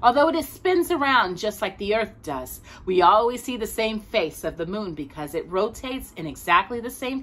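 Casting: middle-aged woman, storytime reader, lively swooping pitch, deliberate dramatic phrasing. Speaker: storytime host reading aloud. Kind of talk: expressive children's storybook reading. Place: small room at home with bookshelves.

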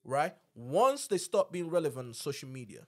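A man's voice: on the high side, big pitch swings, moderate pace, a little mellow. A man, chatting casually and emphatically as a podcast host, repeating himself in slang on a close studio mic. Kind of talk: casual chat. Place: small indoor podcast studio. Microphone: close studio mic on boom arm.

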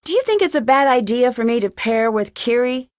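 Game heroine: curious young amateur sleuth, conversational and questioning, on the higher side, very dry recording. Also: female voice, very animated, fairly quick, no echo. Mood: probing. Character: inquisitive, friendly young female amateur sleuth. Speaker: game protagonist. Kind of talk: video game dialogue lines.

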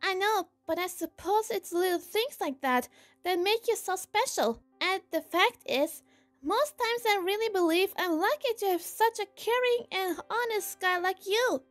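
A woman's voice: very high voice, expressive, a little slow, a bit bright, full character voice. A woman voicing a sweet, lovestruck young cartoon hedgehog girl, girlish and theatrical, in an amateur comic dub. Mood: affectionate.

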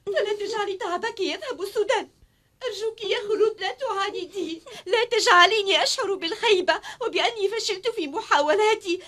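A woman's voice: extremely high pitch, a bit bright. A woman playing a devoted, tender young woman teacher in a cartoon drama, emotional and pleading. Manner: emotional, pleading, tearful delivery. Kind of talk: dubbed cartoon drama acting in formal Arabic.

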